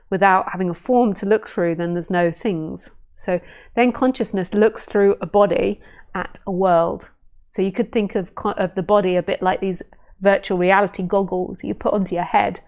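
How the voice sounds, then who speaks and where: A woman spiritual teacher in a casual live stream, talking gently and unhurriedly, musing with fillers and restarts. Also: mid-range voice. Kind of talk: casual live stream talk answering viewer questions.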